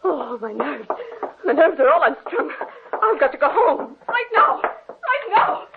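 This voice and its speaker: A woman's voice: high voice, very animated, unhurried, vocal fry, full character voice. An actress playing a nervous, superstitious, easily frightened woman in an agitated, dramatic old radio horror style. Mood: alarmed. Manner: agitated, dramatic, breathless exclamations. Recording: scratchy, narrow-band vintage radio recording.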